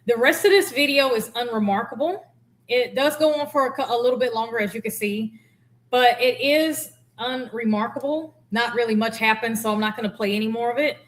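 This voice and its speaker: A woman's voice: on the high side, a little fast. A woman giving casual, candid explanatory commentary as a former air traffic controller.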